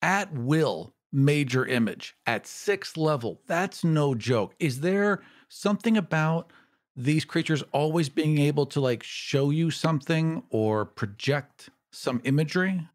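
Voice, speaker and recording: A man's voice: fairly high pitch, animated, unhurried. A man co-hosting a casual podcast discussion.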